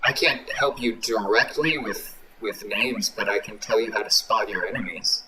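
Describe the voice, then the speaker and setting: Through loud background noise comes a pedantic robot instructor acted out by a man on the low side of male pitch. He is a helpful military tutor in a tabletop game, explaining things in an exacting, instructive way. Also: normal speed, lightly acted. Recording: noisy hobby recording with clicks.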